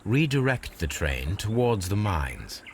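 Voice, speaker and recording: An actor playing a brilliant, aloof gentleman detective in a video game, with a British accent and a precise, measured, analytical delivery. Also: fairly low pitch, sing-song, very noisy. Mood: observing. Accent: British English. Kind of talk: video game character acting.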